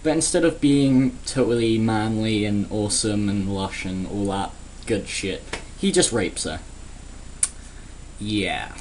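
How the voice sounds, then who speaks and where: A man's voice: fairly low pitch, slow, a little mellow, slight background noise, rough recording. A man giving a sarcastic, crude, rambling comedic rant in a casual vlog. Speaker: vlogger.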